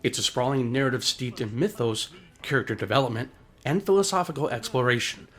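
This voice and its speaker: A man's voice: mid-range voice, fairly quick, fairly bright tone. A man reading scripted video essay narration in a polished, formal, explanatory way.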